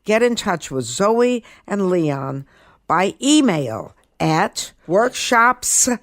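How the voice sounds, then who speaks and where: A woman's voice: mid-range voice, very animated, drawn-out words, no echo, clean studio sound. A woman hosting a podcast, presenting and commenting in an emphatic way, often repeating words for stress. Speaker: guest podcast host.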